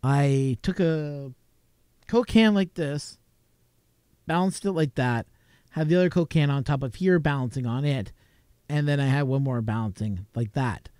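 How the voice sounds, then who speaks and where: A man's voice: mid-range voice, unhurried, a little mellow, smooth, no room sound, clean studio sound. A man from Canada, hosting a late-night live stream from his home desk, chatting casually and rambling with chuckles. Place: home desk crowded with gear. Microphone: desk mic with a mixer.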